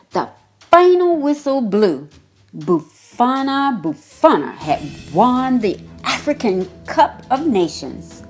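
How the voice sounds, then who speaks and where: A woman narrating a children's book as a read-aloud, in an expressive, deliberate way. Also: mid-range voice.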